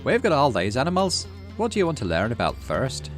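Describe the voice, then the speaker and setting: A folksy, upbeat farmer dad from a visual novel, acted out by a man, pitched well up with lively swings. He explains things to his kid in a chummy, cheerful way over music. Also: fairly quick. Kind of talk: visual novel character read aloud.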